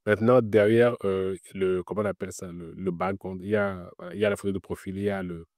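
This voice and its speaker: Low dark-voiced man giving advice. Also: steady tone, fast talker, no room sound, clean studio sound. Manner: explanatory, repeats points, frequent filler words. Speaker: interview guest working in Web3. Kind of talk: casual advice-giving interview.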